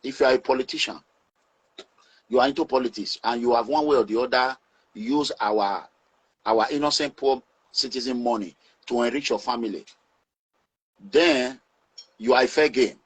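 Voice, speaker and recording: A Nigerian man speaking in a forceful, preaching way as he gives advice to his online audience. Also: medium pitch, moderate pace, long pauses, breathy edge.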